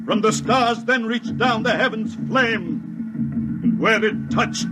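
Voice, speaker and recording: A man's voice: sits high, slow, over loud music. An actor playing a fanatical male cult priest, solemnly intoning prophecy in a 1950s radio drama.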